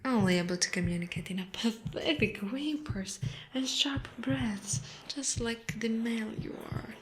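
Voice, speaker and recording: A woman voicing a giant, possessive, seductive spider monster in a breathy, whispered ASMR roleplay. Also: on the high side, slight background noise, natural voice. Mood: mocking, tender. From Italy. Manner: breathy whispering, slow and deliberate.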